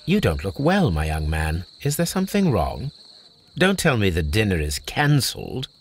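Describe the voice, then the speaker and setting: An actor playing a brilliant, eccentric Victorian English detective, formal, precise and dryly teasing, in a video game. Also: male voice, lowish voice, wildly swooping pitch, normal speed, slight background noise. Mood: composed, courteous.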